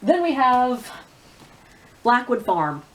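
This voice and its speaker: A woman's voice: fairly high pitch, sing-song, slow, low-quality recording. A woman chatting informally and giving her opinions as a book reviewer in a vlog, with casual swearing.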